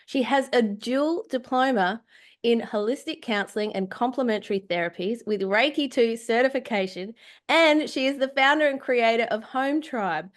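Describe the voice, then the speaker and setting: A woman's voice: fairly high pitch, normal speed. A woman hosting a podcast, introducing her guest and asking questions in an enthusiastic, effusive tone. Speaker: podcast host.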